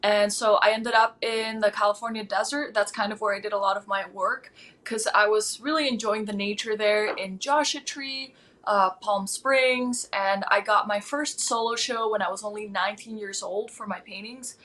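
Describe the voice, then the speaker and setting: A woman's voice: medium pitch, fairly even intonation, moderate pace, fairly bright tone. A woman in her twenties, a guest artist, talking about her work in an upbeat, enthusiastic way over a video call. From East Coast of the United States. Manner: upbeat, enthusiastic, with frequent fillers. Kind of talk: casual interview answers.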